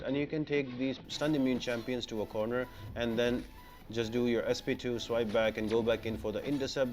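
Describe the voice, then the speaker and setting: A man's voice: mid-range voice, a little hiss or hum. A man narrating a gameplay guide in a casual commentary style. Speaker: game guide creator.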